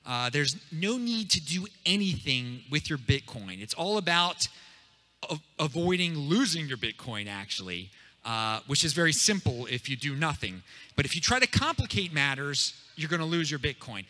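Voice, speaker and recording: A man talking loudly and with energy to a crowd at a meetup, in the style of a channel host. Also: fairly high pitch, moderate pace, big-room sound. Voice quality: loud, energetic. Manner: hyped, pumped-up delivery. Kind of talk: live talk to a crowd. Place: meetup room.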